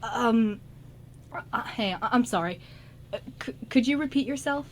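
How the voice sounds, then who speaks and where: A woman's voice: on the high side, a little slow, lightly acted. A woman playing a shy young male musician in an amateur radio drama, speaking hesitantly with many stammers. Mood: awkward, apologetic. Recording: light background noise.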